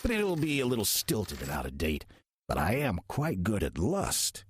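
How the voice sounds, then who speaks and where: Slothful demon in human guise, played by a man: heavily husky, animated delivery, sardonic and coaxing, for radio drama. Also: fairly low pitch, moderate pace, no room sound, clean studio sound, heavily acted. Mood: dry, boastful. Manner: sardonic, casual, persuasive. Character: wry, slothful male demon posing as a friendly churchgoer. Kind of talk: audio drama dialogue.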